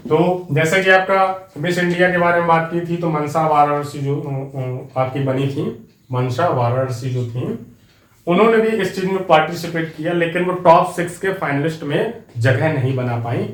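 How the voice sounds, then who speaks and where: A man's voice: a little high, fairly even intonation, moderate pace, echoey room, low-quality recording. A man with an Indian accent teaching an exam-prep class, repeating key facts and speaking straight to his students. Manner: repetitive, drilling facts, addresses students directly.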